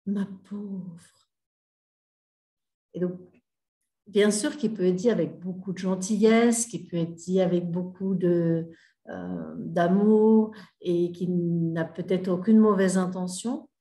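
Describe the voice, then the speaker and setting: A woman's voice: lowish voice, a little mellow. Hesitant woman speaking in an echoey room. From Australia. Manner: hesitant, many fillers and restarts. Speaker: grief mentor and healer. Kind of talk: casual spoken talk to an audience.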